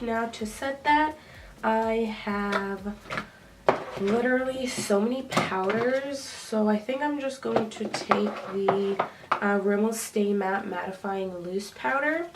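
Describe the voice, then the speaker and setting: A young woman vlogger with a stuffy, nasal voice from a cold, chatting casually with lots of ums as she does her makeup. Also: a little high. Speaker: beauty vlogger. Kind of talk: casual makeup tutorial talk. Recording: slightly distant with faint noise.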